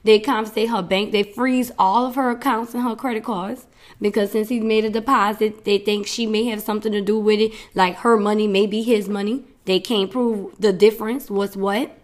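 A woman's voice: medium pitch, fairly even intonation, moderate pace. A woman hosting her own podcast, talking in a chatty, rambling way with frequent laughs while she is losing her voice. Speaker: podcast host. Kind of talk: casual solo podcast talk.

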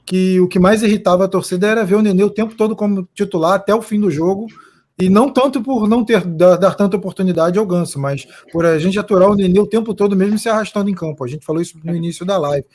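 A man's voice: high-pitched, fast talker, darkish tone. A Brazilian man hosting a casual live stream, chatting and reading out viewer comments.

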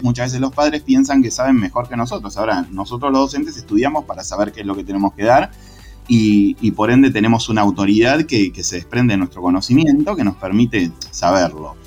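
A man with an Argentine accent, a secondary school literature teacher, talking in a relaxed interview in a reflective, passionate way with frequent restarts. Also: mid-range voice. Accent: Argentine Spanish.

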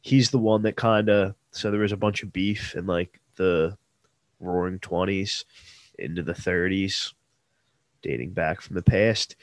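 A young man with a blocked nose talks in a nasal, lowish voice as he hosts trivia on a casual podcast. He rambles with fillers and restarts. The recording is dry and close. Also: measured pace, no echo. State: stuffed-up nose. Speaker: podcast co-host presenting history trivia. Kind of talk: casual sports podcast chat.

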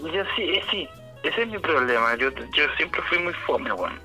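A man's voice: on the high side, sharp tone, low-quality recording. A man with a Chilean accent, co-hosting a casual chat and telling stories in an easygoing, slangy way.

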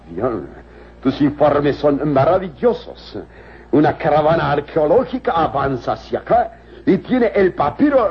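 An actor playing a scheming, arrogant, cruel villain in an old radio serial, with a husky, theatrical, imperious delivery. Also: male voice, on the high side, slight background noise. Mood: sly satisfaction. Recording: dull lo-fi old radio recording.

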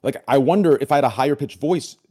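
A deep-voiced man chatting candidly as an interview guest, excitable and blunt, swearing casually and often checking that he is understood. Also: fast. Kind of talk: casual confessional chat.

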